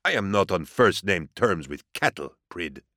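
A male narrator performs a sardonic interrogator in an audiobook story. He speaks low and measured, with a courtly amiability that carries open menace and theatrical mockery. The sound is dry and close. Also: expressive, bright, forceful tone, dry recording, full character voice. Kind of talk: audiobook character acting.

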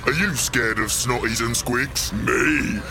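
A man playing a big, dim, boastful orc boss in an audio drama, with a blustering working-class London accent and gravelly voice. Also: mid-range voice, slow, over loud music. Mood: smug, scheming. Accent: English, working-class London. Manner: blustering, rough ungrammatical speech.

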